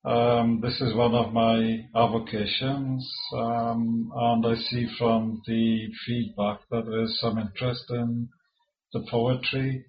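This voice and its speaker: A Welsh-accented man whose first language is Welsh, reading his own poetry aloud in a measured way, with halting asides between poems. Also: mid-range voice, little pitch movement, dull audio. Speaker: poet.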